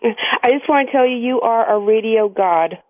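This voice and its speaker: A woman's voice: a little high, normal speed, no echo. An American woman calling in to a radio show, chatting casually and giggly. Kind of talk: casual chat. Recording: tinny phone line on radio.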